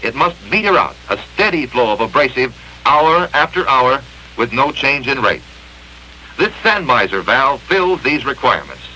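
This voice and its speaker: Guy doing old 60s training film narration, super bright punchy voice, animated pitch, emphatic, slightly noisy vintage film audio. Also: normal speed. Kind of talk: 1960s industrial film scripted narration. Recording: 1960s film soundtrack with faint hum.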